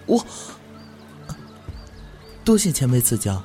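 A man's voice: medium pitch, very animated, slow, faint music behind. An actor playing a cheerful, good-hearted young man who chats eagerly and laughs easily in an audio drama. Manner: bright, chatty, laughs easily.

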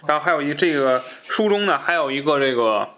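A man's voice: on the high side, expressive, brisk, bright, forceful tone. A man with a northern Chinese Mandarin accent, hosting a podcast with casual banter and short interjections. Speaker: podcast host.

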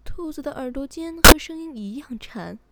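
A woman's voice: high-pitched, animated, normal speed, very noisy. A woman radio host reading a scripted bedtime story in a soothing narration style.

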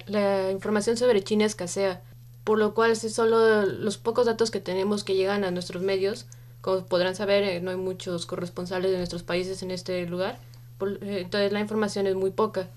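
A woman's voice: mid-range voice, level tone, moderate pace, a little mellow. A woman from Mexico, a journalist guest explaining her views carefully on a radio interview.

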